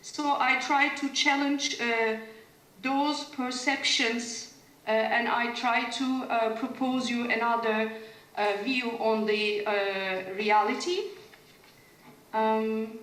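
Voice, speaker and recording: A woman's voice: a little high, steady tone, takes it slowly, a bit bright, echoey room, low-quality recording. A woman from Turkey giving an academic conference talk over a video call, hesitant, with many fillers and restarts. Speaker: conference panelist. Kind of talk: academic presentation. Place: room in Germany.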